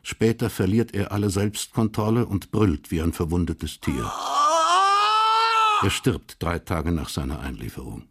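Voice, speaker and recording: A man narrating a radio crime drama in measured, sober, literary German prose style. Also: deep voice, darkish tone, breathy edge.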